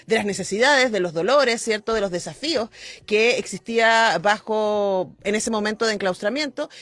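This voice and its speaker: A woman's voice: fairly high pitch, fairly bright tone, no echo. A woman with a Chilean accent interviewing conversationally as a radio host, reflective and often seeking agreement with tag questions.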